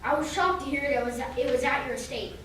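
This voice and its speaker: A woman's voice: sits high, steady tone, a little fast, fairly bright tone. A woman playing an earnest male police detective in old-time radio drama style. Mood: businesslike. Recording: very echoey hall, distant mic, faint noise.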